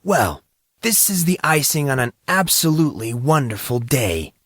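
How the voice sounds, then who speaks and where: A man's voice: medium pitch, sing-song, moderate pace, a bit bright, dry recording, clean studio sound, pitched above the performer's own voice. A man playing a troubled fourteen-year-old schoolboy with a wry, exasperated manner in an audio drama. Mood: sarcastic, fed up.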